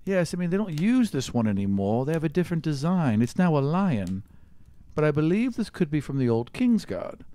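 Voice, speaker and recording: A man plays a stern, shrewd mother in a tabletop roleplaying game. The pitch often lifts and moves around a lot, with a soft-edged tone. The delivery is measured and grave, like someone giving orders to her child. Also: very animated, no room sound. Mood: guarded, probing.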